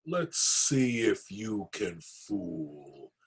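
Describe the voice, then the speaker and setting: A man voicing a terrifying goblin king for a storybook, speaking in slow, drawn-out, ominous phrases. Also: lowish voice, very animated, breathy edge, voice pushed down, heavily acted. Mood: quietly menacing. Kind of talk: storybook read-aloud villain.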